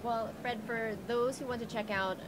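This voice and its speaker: A woman's voice: a little high, fairly even intonation, normal speed, background noise. A woman in her thirties interviewing in a gracious, articulate and emphatic way, on a handheld microphone. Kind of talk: polished convention interview. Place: small plywood booth at a convention.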